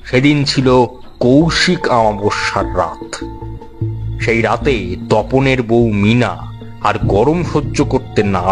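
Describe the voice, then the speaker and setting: A man playing a middle-aged villager who gravely tells of a local curse in an audio horror story. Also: on the low side. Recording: loud music under the voice.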